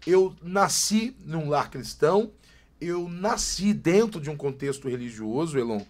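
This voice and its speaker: A man's voice: fairly high pitch, lively intonation, a little slow, darkish tone. Emphatic São pastor in his forties. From Brazil, Greater São Paulo. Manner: emphatic, preacherly repetition. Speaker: pastor, podcast guest. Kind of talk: casual podcast chat.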